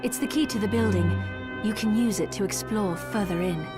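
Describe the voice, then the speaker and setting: A woman's voice: mid-range voice, brisk, natural voice. A woman playing a composed, courteous but firm church merchant in a video game. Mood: businesslike. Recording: loud music behind the voice.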